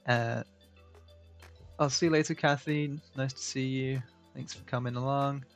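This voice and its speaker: A man from the UK hosting a livestream, chatting in a relaxed, rambling way and reading viewers' messages aloud. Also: mid-range voice, unhurried, faint music behind. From United Kingdom. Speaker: stream host. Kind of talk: casual livestream chat.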